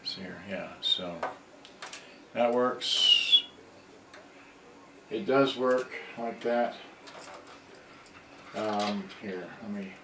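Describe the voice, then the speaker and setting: A middle-aged man with a fairly low voice talks casually and haltingly through a repair, rambling as he thinks aloud. He sounds far from the microphone in a very echoey room, with some faint noise behind him. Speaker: hobbyist electronics repairer on a livestream. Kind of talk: unscripted casual live demonstration. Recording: distant mic, echoey room, background noise.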